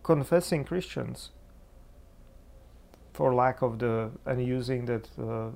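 Male Czech-accented preacher, unhurried and gravelly, thoughtful discussion guest who gropes for the right word. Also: mid-range voice, a little mellow. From Czech Republic. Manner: halting, searching for words. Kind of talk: reflective theological discussion.

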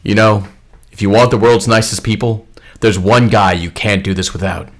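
A man's voice: on the low side, steady tone, normal speed. A man playing an earnest character in a comedy radio play. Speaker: podcast performer.

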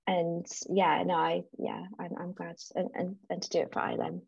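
Woman in her thirties, husky voice, chatty, restarts a lot, dull lo-fi call sound. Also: on the low side, little pitch movement, moderate pace, a little mellow, no room sound. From South Africa, raised in North East England. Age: 30s. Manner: chatty, restarts often, laughs easily. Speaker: podcast guest, recruiter. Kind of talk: casual interview chat.

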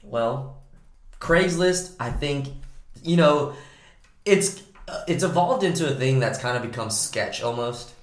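A man hosting a podcast, hoarse and raspy from a cold, talking in an upbeat, joking way. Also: mid-range voice, expressive, a little slow, pauses often. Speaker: podcast host. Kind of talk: casual podcast chat. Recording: echoey room.

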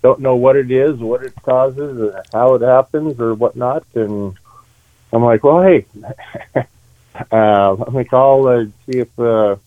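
A man's voice: medium pitch, measured pace, no echo. Male caller, laid-back chatty, heard over a tinny phone line.

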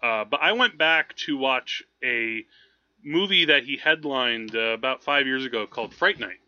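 Animated man with a bright, forceful voice. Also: medium pitch, normal speed, dry recording, dull audio. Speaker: podcast co-host. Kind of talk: casual film chat.

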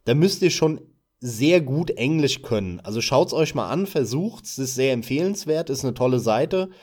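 A German-speaking man co-hosting a podcast, chatting about gaming websites in a relaxed, casual way with a few filler sounds. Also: medium pitch, moderate pace. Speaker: podcast co-host.

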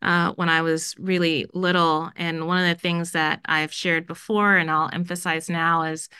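A woman's voice: fairly low pitch, little pitch movement, normal speed, bright, forceful tone, smooth, no echo, clean studio sound. A middle-aged woman from Jamaica, a school dean, speaking earnestly in a podcast interview with many fillers.

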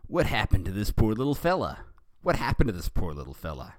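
A man's voice: sits low, sing-song, normal speed, no echo. A man voicing a cocky young male hedgehog hero in a fan story he reads aloud, with an eager, upbeat manner. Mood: worried.

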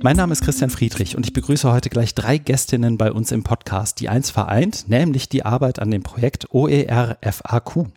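A man's voice: medium pitch, normal speed, hardly any pauses, a little mellow, no echo. A man hosting an interview podcast, welcoming guests and guiding the introductions in a friendly, structured way. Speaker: podcast host.

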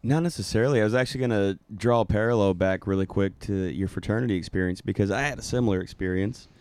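Man, from Tennessee, co-interviewer, low smooth tone, dry sound, easy chatty delivery. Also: fast, darkish tone, no echo. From Tennessee, USA. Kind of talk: casual conversational chat.

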